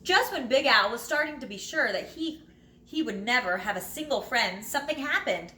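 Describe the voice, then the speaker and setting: Bright-voiced woman reading a storybook aloud. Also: on the high side. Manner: expressive, dramatic storytelling with drawn-out phrases. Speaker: teacher reading to pupils. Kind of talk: animated children's storybook read-aloud. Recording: roomy echo, light background noise.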